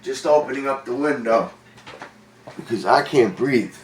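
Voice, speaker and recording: A man's voice: medium pitch, a little slow, recorded from a distance, slight background noise. Forty-year-old man, congested, profane rambling storytelling, roomy room, set back from the mic. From Long Island, New York. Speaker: vlogger. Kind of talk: casual storytelling monologue. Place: indoor room with a window.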